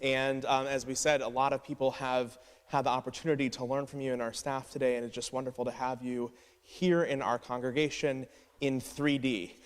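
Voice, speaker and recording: Relaxed rabbi in a reverberant sanctuary. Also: male voice, mid-range voice, normal speed, clear, resonant tone. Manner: relaxed, conversational, with fillers. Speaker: rabbi moderating a discussion. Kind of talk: casual introduction. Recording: long hall reverb.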